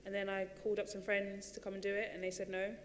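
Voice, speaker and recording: A woman's voice: medium pitch, monotone, fast talker, in a large hall, slight background noise. Chatty woman on a theatre stage. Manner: chatty, many fillers and restarts. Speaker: panel speaker, running coach. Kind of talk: panel talk on stage.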